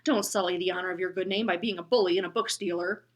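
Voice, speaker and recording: Woman doing a booming, courtly bus driver. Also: steady tone, quick pace, lightly acted. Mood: booming, lofty. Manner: bellowing, courtly and lofty speech. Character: big booming male bus driver, grandly gallant.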